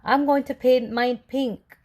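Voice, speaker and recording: A woman voicing an excited chimpanzee townsperson in a storybook, eager and gushing. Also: sits high, unhurried, voice pushed up.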